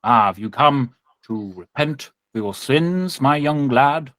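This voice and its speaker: A man's voice: medium pitch, animated, takes it slowly, a bit bright, dry recording. A man acts out a pompous church father for a tabletop game. He speaks in formal, stately phrases and takes offence easily, in a close, dry recording. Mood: stately, patronising. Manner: formal, stately, indignant when challenged.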